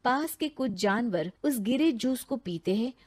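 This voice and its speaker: A woman's voice: a little high, expressive, unhurried, a little mellow. A woman storyteller delivering scripted narration of a moral tale.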